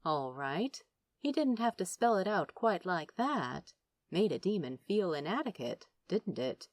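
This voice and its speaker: A woman narrating a story in a lowish voice that moves expressively up and down. Her reading is scripted, wry and dramatic, taken at an unhurried pace. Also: sing-song, no echo. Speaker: audiobook-style narrator.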